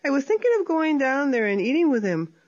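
A sly, sweet-talking male opossum, put on by a woman storyteller, chirps along in a high, bright voice. He coaxes and flatters like a storybook trickster, mixing Spanish with English. The recording is dull and lo-fi. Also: fairly quick. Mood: sly cheerful.

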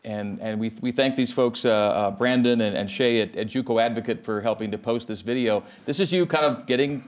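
A man's voice: mid-range voice, a little fast. Male host, middle-range voice, chatty and upbeat. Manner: chatty, upbeat questions with restarts. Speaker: show host. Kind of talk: friendly interview hosting.

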